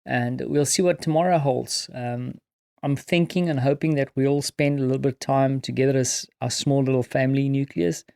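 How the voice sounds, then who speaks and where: A tired man with a South African accent and Afrikaans as his first language, talking in a casual, reflective, halting solo audio diary. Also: medium pitch, fairly even intonation, vocal fry, darkish tone, dry recording, studio quality. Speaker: podcast host recounting his day.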